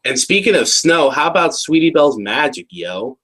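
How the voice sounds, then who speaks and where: A man's voice: a little high, sing-song, moderate pace, fairly bright tone. An American man co-hosting a casual review, talking in a slangy, jokey, exaggerated way. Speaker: review show co-host. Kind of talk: casual joking review chat.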